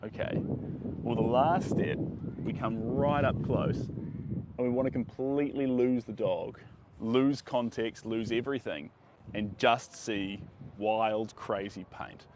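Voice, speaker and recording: A man's voice: medium pitch, fairly even intonation, takes it slowly. A young man teaching painting on a live stream, enthusiastic and chatty, his voice a bit strained by the wind, recorded on a phone outdoors on a beach. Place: sandy beach outdoors on a sunny day. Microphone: phone held at arm's length. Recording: phone audio with clear wind and outdoor noise.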